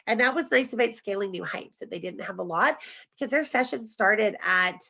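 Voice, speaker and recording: A woman's voice: medium pitch, a little fast, vocal fry, sharp tone. Chatty woman on a dull video call. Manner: chatty, laughs often. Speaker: host. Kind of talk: casual group video chat.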